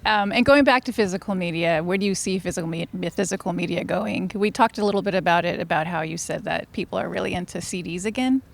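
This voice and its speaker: Female interviewer. Lowish, very creaky voice; agreeable, casual host delivery. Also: fast talker, few pauses.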